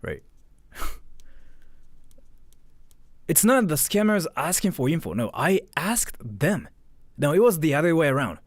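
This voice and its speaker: A man's voice: on the high side, sing-song, unhurried, long pauses, dry recording, studio quality. A man telling a story casually on a livestream, swearing and acting out both sides of conversations. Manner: frequent "like" and repeated phrases.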